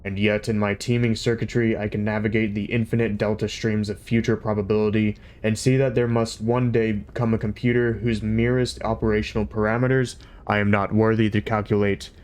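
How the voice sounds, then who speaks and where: A man voicing a grandiose, ponderous male supercomputer that speaks solemnly and deliberately. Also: lowish voice, flat delivery, darkish tone, clear, resonant tone, natural voice. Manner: solemn, measured, pronouncing.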